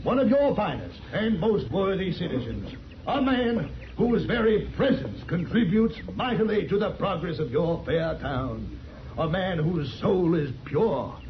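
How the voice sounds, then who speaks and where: Casting: male slick traveling quack, high voice, florid declamation, vintage radio western with muffled sound. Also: a little hiss or hum. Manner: florid, declamatory sales oratory. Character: pompous traveling medicine-show quack professor, slick and theatrical. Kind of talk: old-time radio drama acting. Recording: dull old radio recording, crowd behind.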